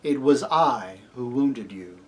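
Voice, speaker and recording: A man voicing a great lion, a grave, commanding yet tender divine king in a storybook reading, speaking deliberately. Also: medium pitch, lively intonation. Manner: slow, grave, deliberate, authoritative.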